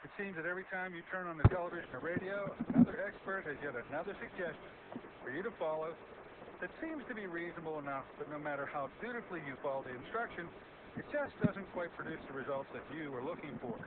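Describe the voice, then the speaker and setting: A male physician reads his own radio commercial at a quick, brisk clip. His voice is a touch high. The audio is tinny and phone-like, with loud noise behind it. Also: expressive. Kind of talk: scripted radio ad.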